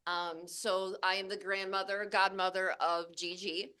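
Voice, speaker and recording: A woman presenting to a live audience in a loud, chatty, laughing way, speaking into a handheld microphone. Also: mid-range voice, little pitch movement, unhurried, clear, resonant tone. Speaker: cannabis breeder and presenter. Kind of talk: casual talk to a live audience. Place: event venue with live audience.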